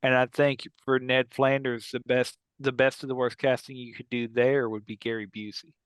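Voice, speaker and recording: A man's voice: medium pitch, little pitch movement, moderate pace, clean tone, no echo. A man hosting a podcast, chatting in a casual, joking way with the other people on the show. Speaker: podcast host. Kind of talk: casual joking panel chat.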